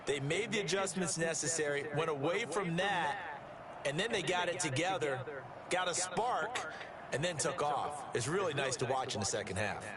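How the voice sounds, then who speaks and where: A man's voice: a little high, lively intonation, moderate pace, over a noisy crowd, lightly acted. A man playing a chatty, analytical TV football color commentator in a sports video game, explaining conversationally. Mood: reflective, approving.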